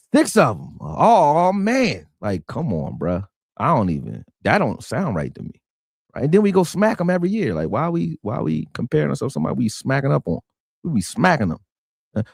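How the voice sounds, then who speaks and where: A man rants through a live sports talk stream. His pitch swings widely as he talks, and he keeps leaning on "you know what I'm saying." The sound is close and dry. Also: vocal fry, darkish tone, no room sound. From Philadelphia. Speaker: sports show host.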